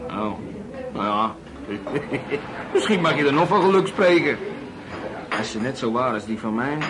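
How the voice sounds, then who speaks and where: An actor playing a talkative middle-aged working-class driver in a colloquial radio drama, speaking in a chatty, familiar way. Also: male voice, mid-range voice, expressive, unhurried, close to an everyday voice, low-quality recording. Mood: chatty, musing.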